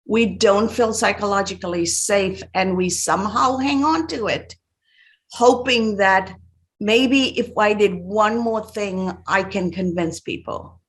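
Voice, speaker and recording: Podcast host, a woman from Sri Lanka, mid-pitched, calm and empathetic, measured delivery. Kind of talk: interview conversation.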